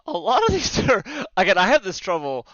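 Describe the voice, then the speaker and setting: A man chatting casually as a podcast panelist, explaining things in a halting, rambling way over lo-fi sound. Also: high-pitched, wildly swooping pitch, a bit bright, dry recording. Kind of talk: casual discussion of visual novels.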